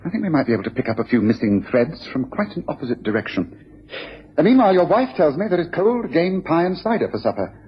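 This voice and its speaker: Brisk, self-assured male detective, period radio drama, lively intonation, dull recording. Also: fairly high pitch, breathy edge, exaggerated voice. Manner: decisive, declarative, explanatory. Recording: dull old radio recording, faint hiss.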